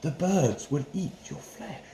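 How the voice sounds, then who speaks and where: A man's voice: a little high, animated, slow, creaky, dark tone, echoey room, a little hiss or hum, pitched above the performer's own voice, full character voice, rough recording. A man voicing Joseph, an earnest young prisoner in a Bible story, speaking emphatically and pleadingly. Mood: grave.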